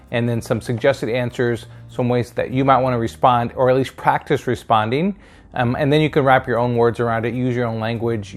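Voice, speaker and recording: A middle-aged man presenting instructional advice straight to viewers, explaining step by step and checking in with short tag questions. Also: medium pitch. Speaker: career advice video host, sales hiring manager.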